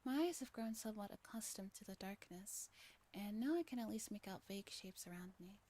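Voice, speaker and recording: A woman reading a story aloud softly as a streamer, in a breathy ASMR style that slips into whispers. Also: mid-range voice, lively intonation, fairly quick. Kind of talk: soft-spoken ASMR narration with chat asides.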